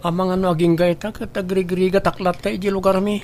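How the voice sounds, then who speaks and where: A woman's voice: on the low side, little pitch movement, brisk, darkish tone, a little hiss or hum, natural voice. A woman playing a timid, hard-working youngster in a radio drama, speaking in a deferential, pleading manner. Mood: respectful, shy. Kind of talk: Ilocano radio drama acting.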